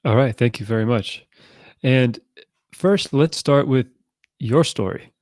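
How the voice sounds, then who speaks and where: A man's voice: medium pitch, unhurried, a little mellow, no room sound, studio quality. A man hosting a live podcast, speaking casually and introducing his guest. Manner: casual, with some fillers. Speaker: podcast host.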